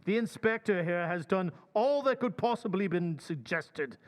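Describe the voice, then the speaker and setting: A man's voice: high-pitched, animated, normal speed. A man playing a courteous, determined colonel and racehorse owner in an old-time radio drama, speaking formally. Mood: welcoming, resolute.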